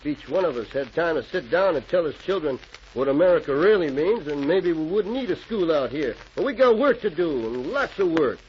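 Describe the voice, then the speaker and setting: Through dull, noisy vintage radio sound, an actor plays a determined frontier settler man. He talks in a folksy, emphatic way, in a slightly high voice. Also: lively intonation, fairly quick, lightly acted. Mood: earnest, insistent. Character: earnest, determined frontier settler man rallying his neighbors. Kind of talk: 1940s radio acting. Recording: muffled, noisy old radio recording.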